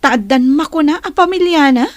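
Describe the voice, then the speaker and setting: A woman playing a nosy, excitable best friend in a radio drama, exclamatory and gossipy. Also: high-pitched, moderate pace, dry recording, lightly acted. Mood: doubtful, advising. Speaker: audio drama actor.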